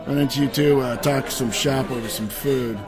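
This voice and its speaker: A male actor as a skeptical, wisecracking leader of adventurers in a fantasy comedy drama. He speaks casually and drily mocking, in a mid-range voice. Music is clearly audible behind him. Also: medium pitch, fairly even intonation, moderate pace, natural voice. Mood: dismissive. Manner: casual, sarcastic, joking. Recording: music under the voice.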